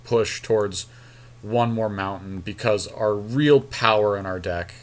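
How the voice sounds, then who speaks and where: A man co-hosting a podcast, chatting casually and informally as he deliberates aloud over a card game draft. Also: on the low side. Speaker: podcast co-host.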